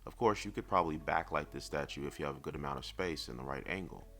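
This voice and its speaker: A man reviewing collectibles in semi-scripted narration, speaking in a measured, articulate and lightly wry way over background music. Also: a bass voice, gravelly, a bit bright.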